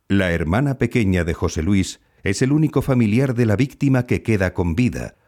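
A man playing a true-crime podcast narrator, delivering measured, suspenseful scripted documentary narration. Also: low-pitched, a little mellow, full character voice. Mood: measured, grave.

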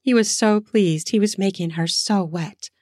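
A woman narrating an erotic audio story in a slow, sensual way, lingering on words for emphasis. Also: medium pitch, darkish tone, no echo, studio quality. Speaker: storyteller, podcast host.

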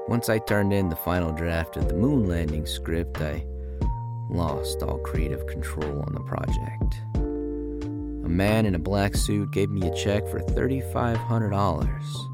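An actor playing a bitter, self-important older screenwriter, delivering an aggrieved and confiding dramatic monologue. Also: male voice, low-pitched. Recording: loud music under the voice.

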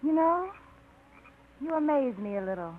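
An actress playing an eager small-town woman in a 1950s radio drama, bright and a little flustered. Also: high voice, big pitch swings, moderate pace. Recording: tinny, hissy 1950s radio broadcast.

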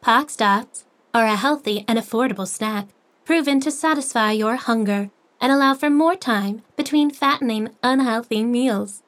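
A woman's voice: a little high, moderate pace, a bit bright, close to an everyday voice. A woman playing a polite, relentlessly upbeat AI voice assistant with a scripted, salesy courtesy, in an audio drama. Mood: smooth, cheerful politeness.

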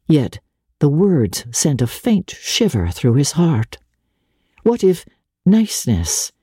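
Husky, low-toned woman reading dramatically. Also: dry recording, clean studio sound. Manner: measured, expressive, theatrical. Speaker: audiobook narrator and radio presenter. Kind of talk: dramatic literary audiobook reading.

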